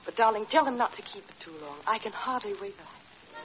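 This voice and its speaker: A woman's mid-pitched voice from an actress plays a fragile, anxious wife in a vintage radio drama. She is earnest, hesitant and eager to please. The old recording is dull with faint noise. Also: lively intonation, a little fast, lightly acted. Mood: anxious, pleading.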